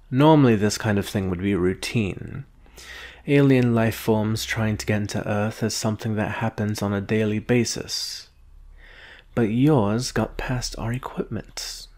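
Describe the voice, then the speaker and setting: A man playing a calm, condescending alien specialist from a secret agency in a measured, deliberate ASMR roleplay monologue. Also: on the low side. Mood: measured, knowing. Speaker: roleplay performer.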